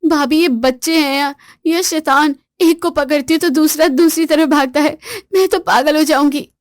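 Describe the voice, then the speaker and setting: A woman voicing a boastful, sharp-tongued young wife in a spoken story, talking in a taunting, frazzled way. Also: steady tone, normal speed, dry recording, clean studio sound, natural voice. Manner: taunting, then shrill and frazzled.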